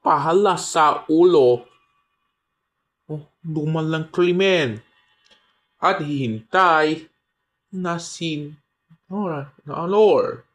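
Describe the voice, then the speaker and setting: Very slow, dramatic male radio host. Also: on the high side, fairly bright tone. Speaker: crime show host. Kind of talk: dramatic scripted radio narration.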